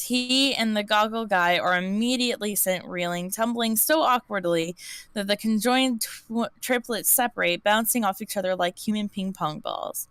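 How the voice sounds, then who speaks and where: A woman reads an interactive story aloud on a live stream. She has a smooth, clean voice, recorded dry and close. She stumbles now and then and restarts the word she misread. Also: medium pitch, fairly bright tone, no echo.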